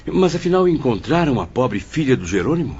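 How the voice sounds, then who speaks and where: A man's voice: mid-range voice, sing-song, brisk, darkish tone, natural voice. A man playing an eager, courteous spirit apprentice in an audio drama, emotive and a little hesitant. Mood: curious. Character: eager, homesick, polite male spirit apprentice. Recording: dull audio with clear background music.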